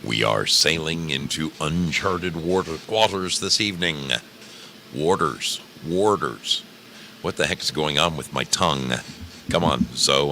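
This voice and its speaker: Male live-stream host, very low pitch with big swings, informal and effusive, some background noise. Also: pushed and bright. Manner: effusive greetings, informal slangy address. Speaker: live-stream host of an old-time radio program. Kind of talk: casual live-stream chat, greeting listeners.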